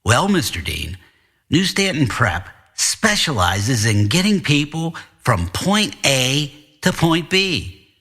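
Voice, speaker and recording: A man's voice: medium pitch, big pitch swings, slow pace, sharp tone, breathy edge, exaggerated voice. A man voicing a genial, evasive school counselor who talks in a leisurely, mannered, circling way in an audiobook story. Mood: cryptic, reassuring. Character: genial, evasive male school counselor, self-styled concierge. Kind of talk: audiobook character dialogue.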